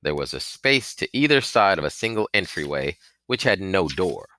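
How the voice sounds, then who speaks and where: A man's voice: low-pitched, very animated, normal speed, sharp tone, no room sound. A man reading a novel aloud as scripted audiobook narration, acting out its characters. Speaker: reader of a children's novel.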